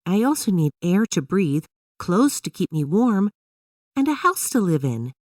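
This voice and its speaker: Woman putting on a high-pitched curious little girl. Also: sing-song, unhurried, darkish tone, no echo, clean studio sound, distant mic. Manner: wide-eyed, inquisitive. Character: curious eager little girl questioning her dad. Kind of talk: children's storybook dialogue.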